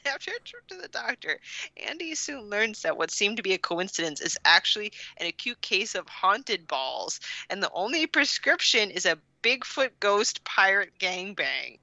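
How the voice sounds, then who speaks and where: A woman's voice: medium pitch, big pitch swings, normal speed, gravelly, pushed and bright, dry recording, dull audio. A woman co-hosting a podcast, giving comic, mock-dramatic readings and chatting casually, often on the edge of giggles. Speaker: podcast co-host.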